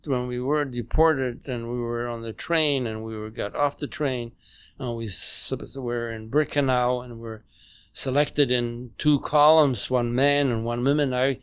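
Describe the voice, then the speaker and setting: A man in his nineties who grew up speaking German, recounting memories in a halting, measured way with many restarts, at home. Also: mid-range voice, steady tone, smooth, dry recording. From Poland. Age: 90s. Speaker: interview guest. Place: home dining room.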